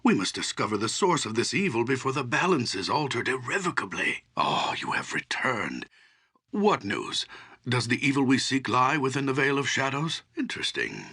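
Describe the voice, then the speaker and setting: An actor playing a grave old archdruid in a fantasy video game, speaking solemnly and deliberately with a gravelly, husky voice. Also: male voice, medium pitch, huge pitch swings, sharp tone, exaggerated voice. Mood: urgent, earnest, then concerned, inquiring. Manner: solemn, deliberate, portentous storytelling.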